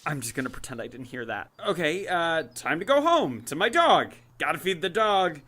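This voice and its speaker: A man's voice: fairly high pitch, very animated, moderate pace, sharp tone, exaggerated voice. A man playing a hesitant, awkward young man. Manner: hesitant, self-mocking.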